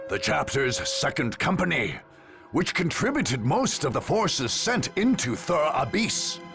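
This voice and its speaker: An actor playing a solemn chronicler, narrating a war's history for a video game in a grave, measured way. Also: male voice, mid-range voice, vocal fry, full character voice. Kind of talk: scripted dramatic game narration. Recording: music behind.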